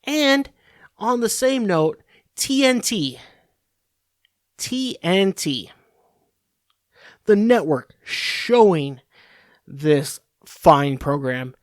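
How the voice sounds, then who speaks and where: A man's voice: sits high, animated, very slow, long pauses, dry recording, studio quality. A man hosting a podcast, ranting in a profane, rambling, sarcastic monologue. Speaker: podcast host.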